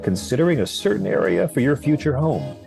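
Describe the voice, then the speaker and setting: A man's voice: medium pitch, big pitch swings, brisk, gravelly. A man reading a scripted promotional introduction as an announcer. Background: guitar music.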